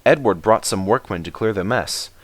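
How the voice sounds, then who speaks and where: A man's voice: on the low side, normal speed, a bit bright, no room sound. A man reading a children's story aloud as scripted narration.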